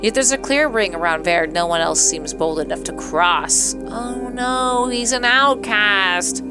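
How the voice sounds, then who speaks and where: A woman with a medium-pitched voice plays through a visual novel for an audience. She moves between reading narration aloud and casual, giggly commentary in a playful, theatrical manner. Game music is clearly heard behind her. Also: measured pace. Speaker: gaming streamer.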